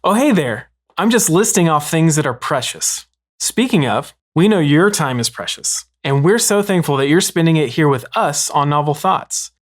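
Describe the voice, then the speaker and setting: Book show host, mid pitch, casual and explanatory, self-correcting. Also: lively intonation, normal speed.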